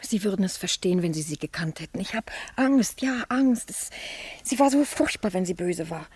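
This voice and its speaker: Breathy, timid aging spinster. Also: female voice, mid-range voice, animated, darkish tone, no echo. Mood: fearful. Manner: halting, repeating words. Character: timid, nervous, lovelorn aging spinster niece. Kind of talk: German radio play acting.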